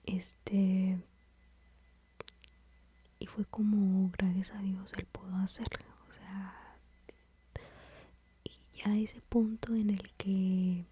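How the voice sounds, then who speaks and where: A woman with a Mexican accent whispering softly as she tells a personal story about motherhood, in a confiding, rambling way. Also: medium pitch, little pitch movement, drawn-out words, long pauses, breathy edge. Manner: soft, confiding, rambling with fillers.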